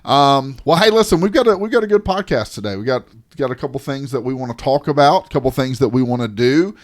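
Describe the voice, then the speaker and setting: A man's voice: mid-range voice, animated, quick pace. A man from the Southern United States co-hosting a podcast in casual chat, rambling and restarting his sentences, often teasing his co-host.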